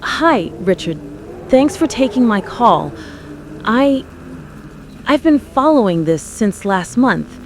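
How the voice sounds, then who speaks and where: A woman playing an earnest, fearful conspiracy-believing radio caller with an American accent, halting and insistent. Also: fairly high pitch, darkish tone, a little hiss or hum, heavily acted. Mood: nervous, insistent. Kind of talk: dramatised radio call-in testimony.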